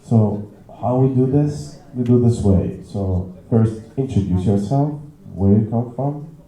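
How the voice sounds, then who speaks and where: Brief: low, dark-toned male facilitator, halting instructions, echoey conference room. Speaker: workshop facilitator. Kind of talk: leading a group round. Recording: roomy echo.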